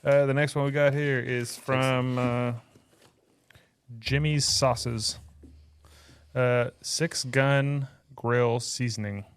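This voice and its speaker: A man's voice: mid-range voice, steady tone, slow pace, pauses often, clean tone. A man with a Texan accent co-hosting a barbecue podcast in casual, joking chat. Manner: easygoing, joking, unboxing mail. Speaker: podcast co-host.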